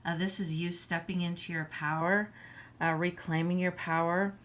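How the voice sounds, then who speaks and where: A woman with a hoarse voice giving a casual tarot reading, halting with many ums and frequent throat clearing. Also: lowish voice, steady tone, fairly bright tone, slight background noise. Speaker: tarot reader.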